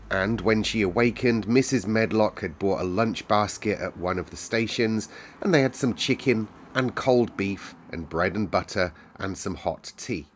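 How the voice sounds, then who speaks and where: A British man narrating a storybook aloud as a storyteller. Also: lowish voice. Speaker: storyteller, host.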